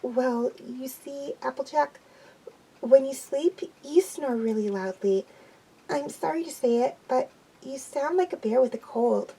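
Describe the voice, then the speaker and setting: A woman doing a soft, timid pony mare. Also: high-pitched, measured pace, creaky, breathy edge, recorded from a distance, heavily acted, rough recording. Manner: soft-spoken, hesitant. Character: timid, gentle female pegasus pony.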